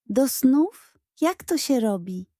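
A lively, cheeky little girl from a children's storybook, acted out by a woman. Her voice sits high and she talks in incredulous, colloquial bursts. The sound is close and dry. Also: slow pace, dry recording, full character voice. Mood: baffled.

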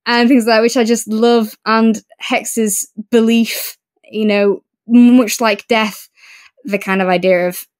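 A woman's voice: a little high, flat delivery, takes it slowly, a little mellow, no echo, clean studio sound. A woman co-hosting a podcast, chatting casually and effusively about books. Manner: enthusiastic, effusive. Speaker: podcast co-host. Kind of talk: casual book review discussion.

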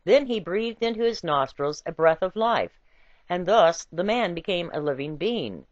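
A woman reading a scripted essay with quotations in a deliberate, emphatic and wry storytelling way. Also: lowish voice, animated, no room sound, muffled recording. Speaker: reader.

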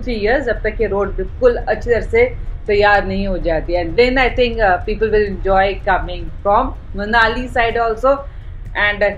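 A woman's voice: fairly high pitch, a little hiss or hum, low-quality recording. A woman vlogging from a car on a road trip, talking casually and mixing in English phrases. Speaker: travel vlogger. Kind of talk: road trip vlog commentary. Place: inside a car on a rough mountain road.

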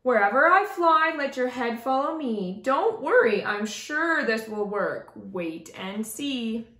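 A woman's voice: on the high side, expressive, takes it slowly, fairly bright tone, reverberant. A woman voicing a bossy, reassuring male bird in a storybook, urging and instructing with confidence.

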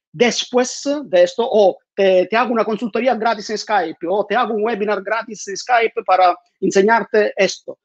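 A high-voiced man, often restarting and repeating words as he gives a talk from home. His lapel mic sounds dry and close. The video call is muffled and lo-fi. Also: a little mellow, dry recording. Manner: many fillers and repeated words. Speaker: conference speaker. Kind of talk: online conference talk. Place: home room with bookshelves.

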